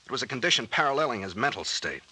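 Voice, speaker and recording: A confident American sea captain turned sleuth in an old-time radio mystery. His voice is bright and highly animated as he lays out explanations with genial self-assurance. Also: rapid-fire, husky, heavily acted, rough recording. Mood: matter-of-fact.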